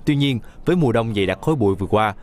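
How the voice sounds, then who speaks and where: A man reading scripted news voice-over in a brisk, even newsreading manner. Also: medium pitch. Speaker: news reporter.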